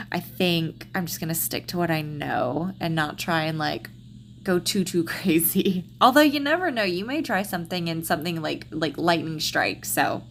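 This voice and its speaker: Chatty congested Southern woman, low voice. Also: a bit bright, a little hiss or hum. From Texas, USA. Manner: chatty, rambling, many ums and restarts. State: slightly congested. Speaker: planner vlogger. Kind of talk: casual plan-with-me voiceover.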